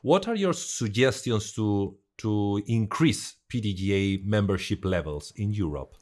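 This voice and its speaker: A man hosting an interview, asking long questions in a halting way and rephrasing as he goes. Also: on the low side, animated, darkish tone. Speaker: interview host.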